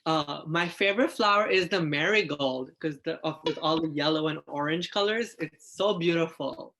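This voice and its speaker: A man's voice: a little high, moderate pace, pushed and bright, a little hiss or hum. A man who is a drag artist, sharing stories in an enthusiastic, informal way. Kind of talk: picture-book reading and casual sharing.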